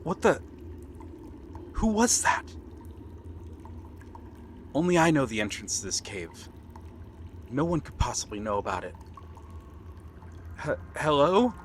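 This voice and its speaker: A man's voice: medium pitch, very animated, slow, pauses often, noisy background. A man playing a nervous, bookish young pirate prince in an ASMR roleplay, hesitant and earnest. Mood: startled, bluffing.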